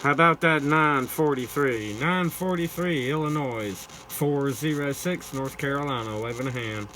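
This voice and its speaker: A man's voice: medium pitch, measured pace. American man calling over heavy radio noise. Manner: repetitive formulaic call-outs. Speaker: amateur CB radio operator. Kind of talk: CB radio calls with operator jargon. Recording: very noisy radio shack, loud static. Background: loud radio static and noise.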